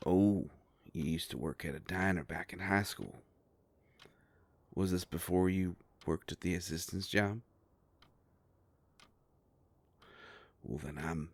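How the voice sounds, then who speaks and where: A man playing a kindly, protective werewolf private investigator in an intimate audio drama, with a gravelly voice and an easygoing, folksy way of talking. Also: low voice, no room sound, heavily acted. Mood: relaxed, grateful.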